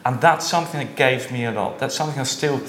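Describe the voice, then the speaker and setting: A man's voice: mid-range voice, fast talker. A man in his thirties from Switzerland, a startup founder talking casually as an interview guest, often tagging his sentences with "yeah?". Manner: relaxed, chatty, tags lines with "yeah?". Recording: echoey room with faint ambient noise.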